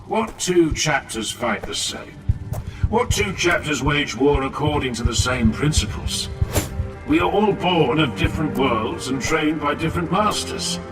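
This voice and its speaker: A man voicing a seasoned older warrior in an audiobook, wry and measured as he admonishes. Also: fairly high pitch, animated, far from the mic, poor audio. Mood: admonishing. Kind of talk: dramatized audiobook. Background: music.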